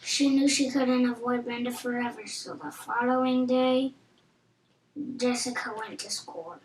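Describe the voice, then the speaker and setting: A female reader with a very high voice reads a children's storybook aloud. The room is echoey, and there is some background noise. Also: steady tone, a little slow. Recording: roomy echo with audible background noise.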